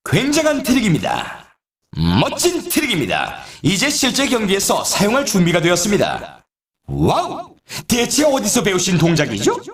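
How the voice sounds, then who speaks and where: A man's voice: high voice, fairly bright tone, distant mic, heavily acted. A man playing a video game sports announcer and coach, speaking formally and emphatically in scripted lines. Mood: impressed, praising. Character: excitable male snowboard game announcer and trick coach.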